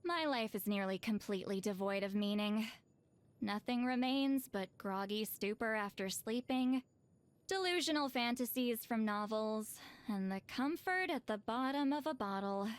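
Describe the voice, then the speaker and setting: A woman's voice: on the high side, normal speed, close to an everyday voice. A woman voicing a reclusive video game shaman, dry and weary yet easily flustered and defensive. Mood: gloomy. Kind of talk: video game character voice lines.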